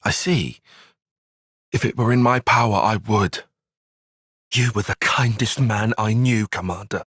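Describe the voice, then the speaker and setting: Formal young tactician, husky edge. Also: male voice, fairly low pitch, a bit bright, dry recording, studio quality. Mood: serious, thoughtful. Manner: precise, measured, wry, formal diction. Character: young male tactician, analytical, formal, dryly critical soldier. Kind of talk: video game cutscene voice acting.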